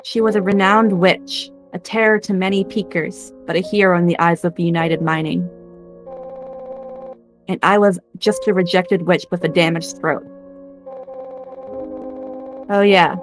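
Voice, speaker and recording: Casting: woman as young rejected witch for a game drama, slightly low voice, conversational, wistful, gently teasing. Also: steady tone, a little slow, pauses often, soft background music, natural voice. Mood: wistful, reflective. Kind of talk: fan-performed game script, dramatic dialogue.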